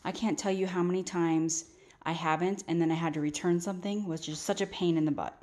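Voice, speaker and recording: Woman in her thirties, low-ish voice, relaxed chatty vlogger, roomy echo. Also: brisk. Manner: chatty, relaxed, frequent ums. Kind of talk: casual home cleaning vlog. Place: small bedroom studio, indoors. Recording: roomy echo, faint background noise.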